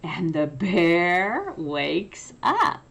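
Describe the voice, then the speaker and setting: A woman reading a children's picture book aloud with drawn-out, emphatic, expressive storytelling. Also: fairly low pitch, creaky.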